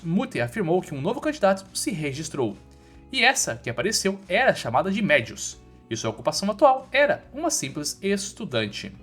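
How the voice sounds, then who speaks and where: Male recap narrator, Brazilian accent, animated higher-set voice, informal storytelling, music behind. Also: slightly rough. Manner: storytelling with colloquial slang. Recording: voice-over with background music.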